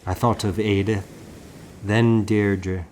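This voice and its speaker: A man reading a historical novel aloud in a measured, solemn, first-person storytelling manner. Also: fairly low pitch, flat delivery, a little mellow, slight background noise. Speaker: audiobook narrator.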